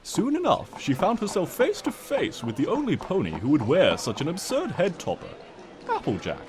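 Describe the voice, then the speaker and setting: A man reads a comic story as a theatrical narrator. His voice sits on the high side and swoops widely, with a smug, wry delivery. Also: wildly swooping pitch, moderate pace, a little mellow.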